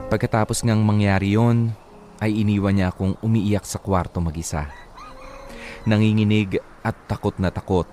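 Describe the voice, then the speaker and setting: Filipino male narrator for dramatic radio letters, fairly deep pitch, faint music bed. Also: warm tone. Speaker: radio drama host reading a listener's letter. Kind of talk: dramatic first-person story narration.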